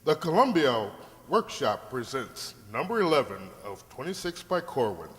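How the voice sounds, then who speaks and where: A man's voice: mid-range voice, very animated, moderate pace, a bit bright. A man playing a formal announcer presenting a 1940s radio program. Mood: formal, proclaiming. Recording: reverberant hall stage.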